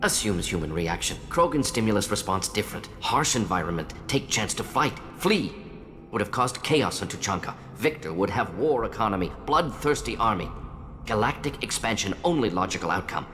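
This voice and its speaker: A man's voice: on the low side, lively intonation, normal speed, fairly bright tone. A man acting as a brilliant, defensive and remorseful alien scientist in a video game, speaking in clipped, analytical fragments that drop small words. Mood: firm, analytical. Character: fast-thinking alien scientist doctor, brilliant, defensive, remorseful male Salarian. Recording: long reverb with music underneath.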